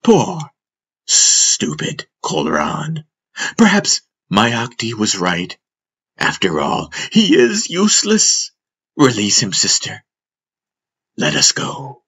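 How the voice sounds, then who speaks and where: A man voicing a sly, taunting female snake with a slow, drawn-out, menacing sneer, in a fantasy audiobook. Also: fairly low pitch, huge pitch swings, fairly bright tone, breathy edge, exaggerated voice. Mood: mocking.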